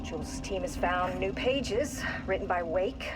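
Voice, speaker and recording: An actress playing an obsessive, resentful and ruthless research scientist in a video game, scornful and intense. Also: female voice, lowish voice, measured pace, natural voice. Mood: cold, driven. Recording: loud music behind the voice.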